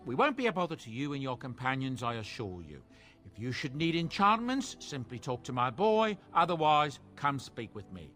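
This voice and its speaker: Middle-aged male dwarf merchant, fantasy game, ingratiating, very lively intonation, soft music behind. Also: mid-range voice, normal speed. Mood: eager, grateful. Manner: obsequious, sing-song salesman's courtesy.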